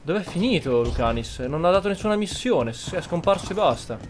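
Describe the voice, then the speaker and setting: High-voiced Italian man commenting on a game. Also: lively intonation, quick pace, faint music behind. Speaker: game streamer, host. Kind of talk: casual gameplay commentary with roleplay.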